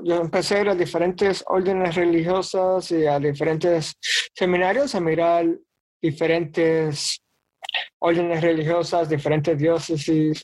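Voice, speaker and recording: A man in his thirties, a priest, telling his faith story in an interview with lively, joking anecdotes. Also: high voice. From Bronx, New York. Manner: animated storyteller, jokes and acts out quotes. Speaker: diocesan priest.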